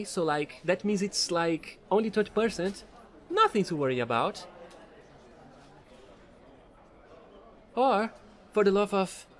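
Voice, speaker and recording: Offbeat fallen angel space trader played by a man for audio roleplay: high, very lively pitch, eager, rambling, playful. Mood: pleading. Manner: rambling, playful, pleading, casual slangy talk. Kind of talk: audio roleplay monologue addressed to the listener.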